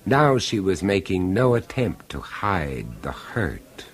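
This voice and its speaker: An actor narrating in the first person as a brooding man who recalls a ruined violin concert, delivering his lines in a measured, grave and dramatic way for a 1970s radio drama. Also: low-pitched, very animated, breathy edge, exaggerated voice.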